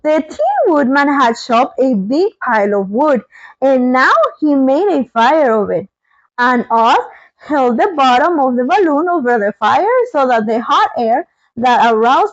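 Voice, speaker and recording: Female read-along host, very lively intonation, dramatic and slangy, slightly muffled lo-fi recording. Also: sits high, unhurried, no echo. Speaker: host of a read-along English lesson channel. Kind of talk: storybook narration and chatty English teaching.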